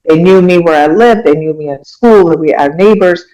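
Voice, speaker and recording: A woman's voice: lowish voice, normal speed, dark tone, low-quality recording. A middle-aged woman from Iran, a guest telling personal stories in a casual interview in an earnest, reflective way, often saying "you know".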